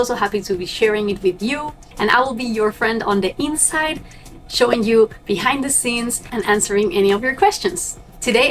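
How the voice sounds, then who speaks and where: A young woman from Argentina, a native Spanish speaker, chatting upbeat and direct to her viewers as a cruise ship crew member vlogging. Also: on the high side. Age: young adult. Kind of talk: casual vlog to camera.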